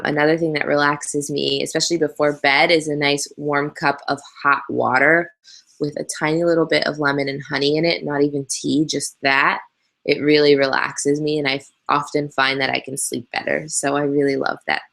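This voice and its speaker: A woman's voice: deep voice, fairly even intonation, normal speed, gravelly, fairly bright tone. A young woman from Canada, hosting a casual live Q&A, chatty and candid with frequent ums, speaking into a webcam. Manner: chatty, candid, laughs easily, many ums. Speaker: host answering viewer questions. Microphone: webcam on a live video hangout.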